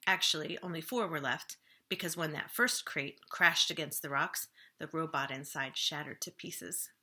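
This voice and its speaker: Bright-voiced woman reading a children's story. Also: deep voice, moderate pace. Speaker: children's librarian reading a book aloud. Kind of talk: storybook read-aloud for children.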